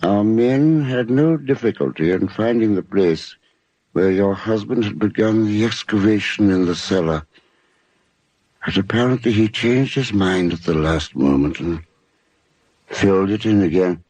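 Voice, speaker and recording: Low-voiced suave husband in vintage radio drama. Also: male voice, animated, a little slow, darkish tone, dry recording, low-quality recording. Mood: guarded, measured. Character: suave scheming husband, a professor, in a suspense drama. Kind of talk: 1950s radio drama acting. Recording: vintage 1950s radio, music underneath.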